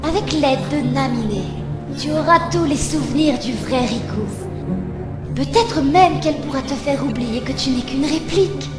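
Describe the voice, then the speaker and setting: A woman's voice: high-pitched, moderate pace, close to an everyday voice. A woman playing a sadistic young villainess in a fan dub, sly, taunting and mock-sweet in her condescension. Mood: cold, mocking. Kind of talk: dramatic fan dub acting. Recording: roomy home dub under loud music.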